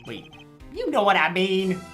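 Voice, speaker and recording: A woman's voice: medium pitch, very animated, unhurried, a bit bright. A woman voicing a wisecracking, nervous young male defense lawyer in a video game fan dub, flippant, with music behind. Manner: joking, flippant. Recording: faint background music behind voice.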